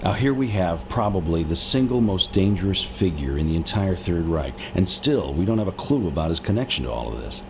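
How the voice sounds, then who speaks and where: An actor playing a blunt intelligence officer giving a businesslike wartime briefing in a video game. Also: male voice, deep voice, sing-song, fairly quick, gravelly, few pauses, noisy background, heavily acted. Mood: concerned, blunt. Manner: businesslike, deferential, plain-spoken.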